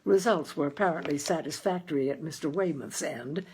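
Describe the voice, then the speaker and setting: A woman's voice: deep voice, brisk, a little mellow, husky. A woman reading a literary short story aloud as scripted narration. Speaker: story reader.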